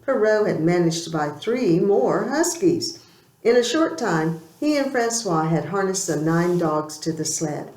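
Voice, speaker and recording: Low-voiced woman reading a story, roomy echo. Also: lively intonation, unhurried, a little mellow. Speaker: audiobook reader. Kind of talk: scripted storybook narration, read aloud. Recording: roomy, echoing amateur recording.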